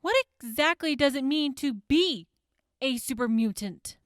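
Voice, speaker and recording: A woman playing a high, probing prosecutor. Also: lively intonation, a little slow, no echo, clean studio sound. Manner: probing, skeptical questioning. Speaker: prosecutor questioning the defendant. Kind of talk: audio drama acting.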